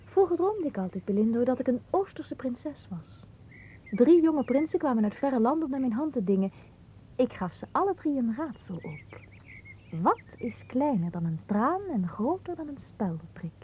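A woman's voice: high-pitched, very animated, moderate pace, dark tone, slight background noise. An actress playing a capricious, haughty young woman in a radio play, teasing and theatrical, with a dreamy storytelling way. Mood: dreamy, wistful. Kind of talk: Dutch radio play acting.

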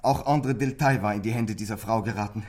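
A man acts a desperate, frightened teenage boy in a radio crime drama. The voice is breathy and rough, and he confesses haltingly, with bitter intensity. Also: lowish voice, a little mellow, close to an everyday voice. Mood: distraught.

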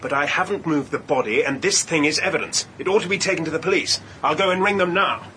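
An actor playing a brusque, defensive young gentleman with a British accent in a radio drama. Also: on the high side, fast, a little hiss or hum. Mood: defensive, irritated.

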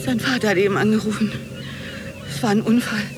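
A woman's voice: medium pitch, steady tone, brisk, close to an everyday voice. An actress playing a distressed woman who calls out urgently in a radio drama. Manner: urgent, calling out. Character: distressed woman calling out bad news. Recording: noisy outdoor ambience.

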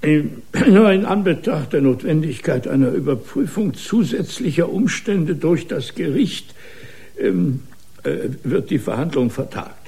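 A dithering judge in a radio drama. He speaks very slowly in a husky voice, hemming and stammering, and his pitch swoops about as he tries to keep order. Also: expressive, dark tone, heavily acted. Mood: fussy. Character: flustered, hesitant judge trying to keep order. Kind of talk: German radio play acting.

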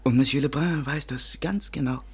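An actor playing a lovesick, self-pitying French waiter with theatrical, mournful delivery in a 1950s German radio play. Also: male voice, medium pitch, moderate pace, husky. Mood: dejected. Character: lovesick, melodramatic French waiter and hobby painter, a man.

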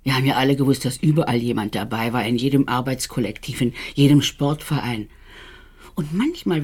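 An actress playing an earnest, conscience-stricken middle-aged assistant to a doctor in a German radio drama, hesitant and searching, with a husky, breathy voice. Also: female voice, sits low, a little mellow. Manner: hesitant, searching, dropping into whispers.

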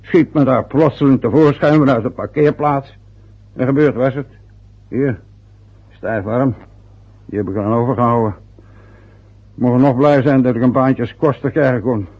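Radio drama: a pompous old dad, raspy and grumbling, full of his own importance, on a muffled old recording. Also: mid-range voice, normal speed, long pauses. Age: older. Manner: boastful, grumbling, self-important. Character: aggrieved, self-important, boastful older father, former ambulance driver. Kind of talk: radio drama acting, long rambling monologues.

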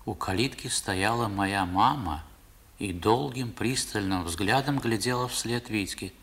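A man's voice: on the low side, measured pace, fairly bright tone, breathy edge, exaggerated voice. A man playing a reflective narrator recalling his boyhood in a 1960s radio play. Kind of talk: dramatic first-person storytelling in 1960s radio play.

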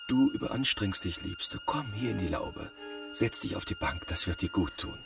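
An actor playing a worried, devoted husband burdened by debts in a radio drama, with an anxious, earnest manner. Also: male voice, mid-range voice, huge pitch swings, moderate pace, vocal fry, over music. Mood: tender.

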